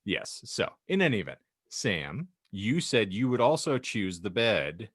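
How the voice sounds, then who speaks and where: Man, lowish pitch, close dry sound, podcast host, wordy formal phrasing, dry teasing. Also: big pitch swings, measured pace, fairly bright tone, slightly rough, dry recording. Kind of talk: moderating casual discussion.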